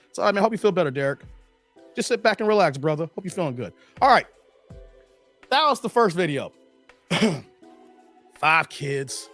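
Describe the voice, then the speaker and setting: A hoarse man hosting a casual livestream, slangy and chatty, greeting viewers by name. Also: high-pitched, fairly bright tone. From Chicago area, Illinois. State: hoarse, losing his voice. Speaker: livestream host.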